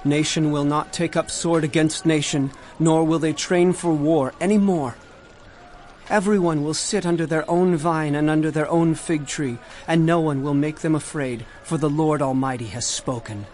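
A man's voice: fairly high pitch, steady tone, moderate pace, a little mellow, a little hiss or hum, natural voice. An actor playing a stern prophet in a dramatized Bible reading, solemn and declamatory as he denounces corrupt rulers. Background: music.

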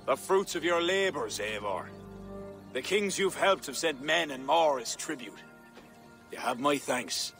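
An actor playing a proud, ambitious high king in a game cutscene, speaking with a commanding, regal delivery. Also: expressive, a little slow, a bit bright, faint music behind. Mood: welcoming. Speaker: king in a war council. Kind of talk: video game cutscene acting.

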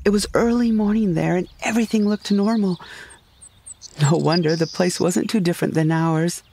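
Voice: mid-range voice, steady tone, normal speed, gravelly, darkish tone, slight background noise. A narrator telling a first-person sci-fi comedy story in a florid, dramatic, scripted storytelling style. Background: music behind the voice.

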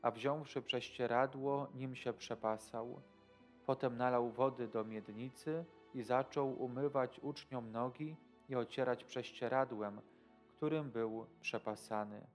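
A man in his thirties speaking as a priest in devotional commentary, deliberate and halting. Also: mid-range voice, steady tone, faint music behind. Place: indoor studio.

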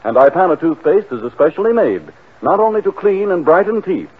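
A man's voice: sits high, sing-song, brisk, breathy edge, narrow, tinny audio. A man with an American accent announcing a 1940s radio commercial in an emphatic sales delivery. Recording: band-limited 1940 radio broadcast.

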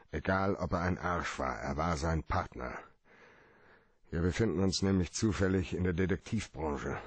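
Hard-boiled cynical private eye for radio drama: very low, gravelly, blunt, argumentative. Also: male voice, dry recording. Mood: bitter, resolute. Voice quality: low, rough-edged voice. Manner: blunt, argues point by point. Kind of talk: radio play acting.

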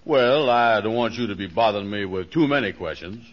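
An actor playing a boastful, slow-witted man in a 1940s radio comedy, pompous and bumbling, with folksy ungrammatical speech. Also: fairly low pitch, animated. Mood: self-important. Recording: muffled, hissy 1940s radio recording.

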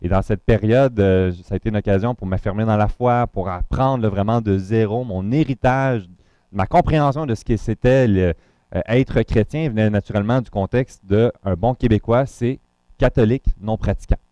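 A man's voice: mid-range voice, warm tone, dry recording. A man from Montreal with a Quebec French accent, introducing himself to a congregation as a guest pastor, talking loosely with many fillers and restarts.

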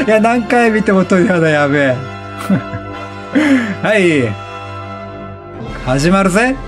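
A man hosting a let's play with excited, exclamatory commentary, often repeating words in bursts. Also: high voice, slow, long pauses, music in the background. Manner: laughs often while talking.

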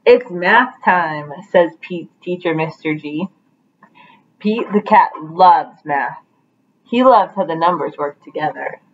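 A woman's voice: low voice, a little slow, dull audio, a little hiss or hum. A young adult woman reading a picture book aloud to children as a teacher, in a living room at home.

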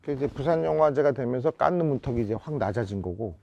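A man in his fifties from South Korea reminisces as a film director in a reflective interview, often pausing to search for words. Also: mid-range voice, animated, creaky, muffled recording. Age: 50s. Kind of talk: reflective oral-history interview. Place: indoor interview set before a photo backdrop.

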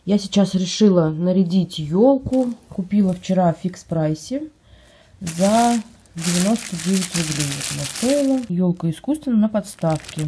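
A woman vlogger with a slightly hoarse voice from a cold, chatting casually at home and describing items she holds up for a phone camera. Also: on the low side, lively intonation, normal speed, mellow, a little hiss or hum. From Russia. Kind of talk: casual show-and-tell vlog. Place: at home, indoors.

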